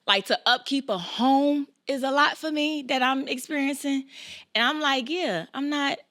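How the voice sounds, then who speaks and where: A woman's voice: high voice, moderate pace, no room sound, studio quality. A woman in her twenties hosting a podcast, chatting casually with slang and frequent laughter. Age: 20s. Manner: animated, laughs a lot, slangy. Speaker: podcast host. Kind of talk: casual chat.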